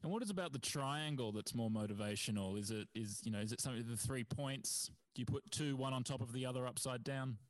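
A man hosting a comedy quiz in a playful, casual way. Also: mid-range voice. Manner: playful, quick to laugh. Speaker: quiz host.

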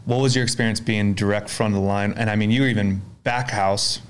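A man's voice: fairly low pitch, steady tone, a little fast, slight background noise. A man hosting a podcast, asking friendly, rambling questions with an upbeat, casual manner. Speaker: podcast host. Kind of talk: casual interview conversation.

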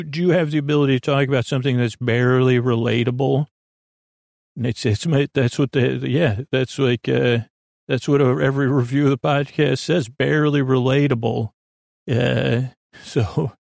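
A man with a creaky voice hosting a bedtime podcast in a gentle, meandering conversational way, reassuring and often interrupting himself with tangents. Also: medium pitch, no echo, clean studio sound. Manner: meandering, hesitant, full of tangents and self-interruptions. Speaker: podcast host.

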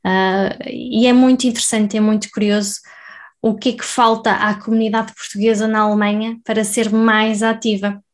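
A woman's voice: mid-range voice, flat delivery, normal speed. Chatty woman interviewer. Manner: chatty, rhetorical tag questions. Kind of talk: casual conversation.

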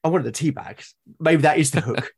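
A British man in casual, reflective conversation, explaining things in a halting, thoughtful way and often rephrasing himself. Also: on the high side, vocal fry, darkish tone, slightly rough. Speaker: podcast guest or co-host, tabletop game master. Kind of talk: casual reflective conversation about roleplaying games.

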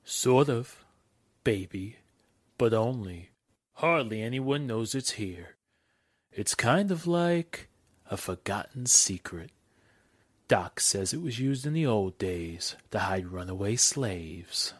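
A man's voice: on the low side, expressive, takes it slowly, slightly rough, heavily acted. An actor playing a menacing American gangster henchman in a 1940s radio drama, talking in sneering, slangy tough-guy style. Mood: smug.

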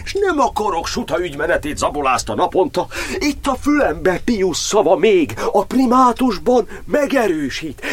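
Embattled cardinal, solemn declamatory verse. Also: male voice, high voice. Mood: impassioned. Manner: declamatory, measured verse delivery. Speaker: actor. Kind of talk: dramatic verse monodrama monologue.

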